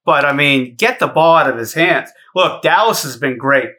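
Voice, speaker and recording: A man with a fairly high, forceful, bright voice, talking in blunt, profane rants on a casual sports podcast. He sounds animated and exasperated, and he swears freely as he breaks down the game. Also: moderate pace. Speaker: podcast co-host.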